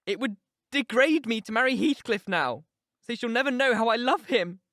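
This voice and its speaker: A woman's voice: on the high side, lively intonation, moderate pace, fairly bright tone, no room sound, studio quality, pitched above the performer's own voice. Woman doing a passionate, headstrong young woman. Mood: yearning. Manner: intense, emphatic, declamatory. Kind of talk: audiobook character reading.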